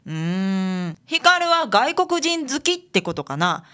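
A woman's voice: medium pitch, sing-song, takes it slowly, sharp tone, exaggerated voice. A woman voicing a playful, easygoing detective's assistant with a Kansai accent in a radio drama. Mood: puzzled. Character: cheerful young female detective's assistant. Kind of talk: community radio drama acting.

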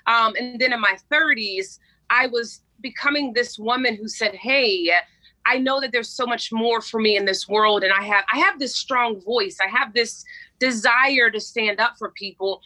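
A woman in her forties, a coach speaking as a guest in an interview, energetic and emphatic as she tells stories. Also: on the high side, moderate pace, rough recording. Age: 40s. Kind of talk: interview conversation.

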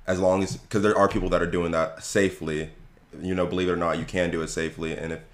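Man, podcast co-host, low voice, relaxed, reflective, long easygoing turns. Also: quick pace. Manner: relaxed, reflective, talks at length. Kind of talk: casual chat.

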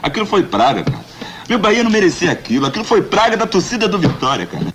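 A man's voice: high-pitched, sing-song, heavy background noise. A man doing a sore, ranting football fan. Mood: aggrieved. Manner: rapid, aggrieved ranting. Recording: ad clip over loud music.

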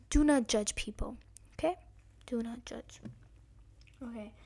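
A young woman hosting her own podcast, slightly hoarse as she recovers from being sick, giving earnest advice straight to her listeners. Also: fairly high pitch, darkish tone. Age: young adult. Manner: earnest, emphatic, addresses listeners directly. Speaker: podcast host. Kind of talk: casual solo podcast advice talk.

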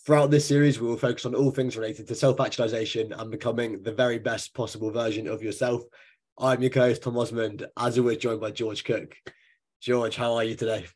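A man with a slightly croaky, husky voice, chatting casually as a podcast co-host. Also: medium pitch, little pitch movement, a little fast, darkish tone.

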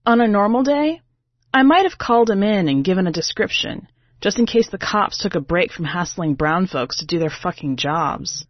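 Woman reading as a brash, sarcastic woman, lowish voice. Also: lively intonation, normal speed, no room sound, dull audio. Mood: sardonic, confiding. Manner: wry, conversational, sweary, exasperated. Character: brash, sarcastic, defensive New York female choir singer. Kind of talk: first-person short story narration.

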